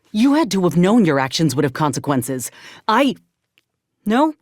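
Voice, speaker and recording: An actress playing a gruff, loyal sci-fi soldier and medic in a video game, speaking in a folksy, plainspoken, measured way. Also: female voice, low voice, darkish tone, dry recording, clean studio sound. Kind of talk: video game companion dialogue.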